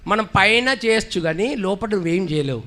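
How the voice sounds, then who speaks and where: A man's voice: high-pitched, expressive, a little fast, bright, forceful tone. A man acting as presenter and straight man in a Sunday school puppet dialogue, patiently questioning and correcting like a teacher.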